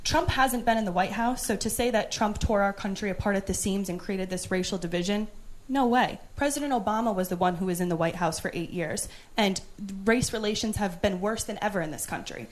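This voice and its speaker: A woman's voice: medium pitch, a little fast, rough recording. A young woman in her twenties arguing her view assertively in a group discussion. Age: 20s. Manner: assertive, argumentative. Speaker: young voter in a panel. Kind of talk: panel discussion.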